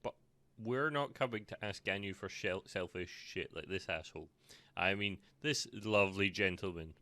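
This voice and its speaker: A man's voice: deep voice, moderate pace, sharp tone, no room sound. A man with a British accent giving casual, sarcastic, sweary commentary as a game streamer.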